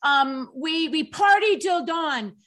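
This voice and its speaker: A woman's voice: sits high, lively intonation, takes it slowly, sharp tone. A woman co-hosting a casual talk radio show, chatty and laughing often, with many ums. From Colorado.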